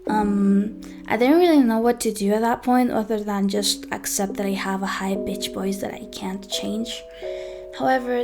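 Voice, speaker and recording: High-voiced young woman, hesitant storytelling. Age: young adult. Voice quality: high-pitched voice. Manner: hesitant, with frequent ums and self-corrections. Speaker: vlogger. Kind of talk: personal storytime monologue.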